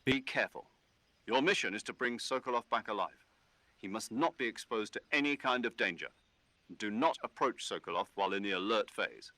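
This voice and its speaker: A man's voice: lowish voice, lively intonation, brisk, bright, forceful tone. A man playing an older, authoritative military commander giving precise mission orders in a video game. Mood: commanding.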